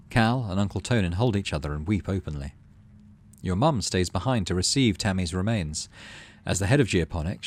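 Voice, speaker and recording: A man hosting a gaming video, reading story text aloud and adding low-key, muttered asides in casual commentary. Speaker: gaming channel host.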